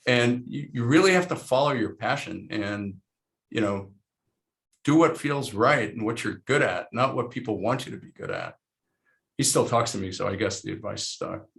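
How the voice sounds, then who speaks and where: A middle-aged man from Lebanon answers panel questions in a loose, rambling, frank way. His voice sits low with a gravelly edge. He often restarts his sentences as he thinks out loud. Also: very animated, moderate pace. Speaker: panel guest, investor. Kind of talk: casual panel conversation.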